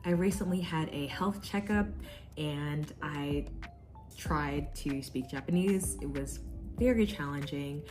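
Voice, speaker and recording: A woman's voice: low voice, slow, over music. A young woman from the United States talking earnestly and casually in a vlog, giving advice from her own experience. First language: English. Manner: earnest, conversational, with fillers. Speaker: vlogger.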